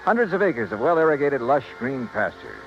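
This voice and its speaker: A man's voice: mid-range voice, very animated, a little fast. An American man playing a wry, breezy insurance investigator in a 1950s radio drama. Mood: brisk, matter-of-fact. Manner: breezy, wisecracking. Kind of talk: 1950s radio detective acting and narration. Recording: muffled vintage 1950s radio recording, music.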